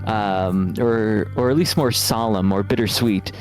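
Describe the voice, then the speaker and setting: A man speaking as a game developer in a casual interview, explaining things in a thoughtful, measured way. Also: lowish voice, fairly even intonation. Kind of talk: casual interview answers over a game stream. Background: guitar music.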